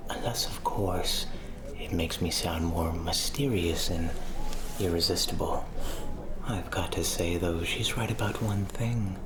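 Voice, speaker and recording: A man playing a sly, charming, sardonic vampire schemer in a soft-spoken ASMR roleplay, wry, teasing and mock-dramatic, recorded with audible background noise. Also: deep voice, unhurried.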